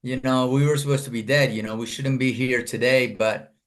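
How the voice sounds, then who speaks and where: A man's voice: mid-range voice, fairly even intonation, fairly quick. A middle-aged man from Colombia, a guest telling his life story in an earnest, rambling way, full of fillers and restarts, over a video call.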